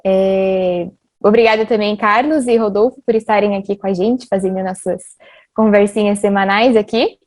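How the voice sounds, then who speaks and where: A woman's voice: medium pitch, fairly even intonation, normal speed, darkish tone. A woman with a Brazilian accent hosting a casual interview in an informal, friendly way. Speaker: host.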